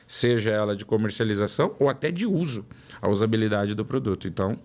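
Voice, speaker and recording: A man's voice: on the low side, animated, quick pace. Paulistano man telling stories with emphasis. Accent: São Paulo Portuguese. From São Paulo, Brazil. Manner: storytelling, repeats words for emphasis. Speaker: guest, product development director. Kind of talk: interview conversation.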